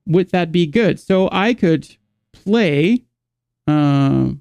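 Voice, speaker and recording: A man's voice: a little high, lively intonation, extremely slow, creaky, a little mellow, smooth, no echo. A man from the Netherlands, teaching a live guitar lesson and rambling as he thinks aloud, stopping to restart his sentences.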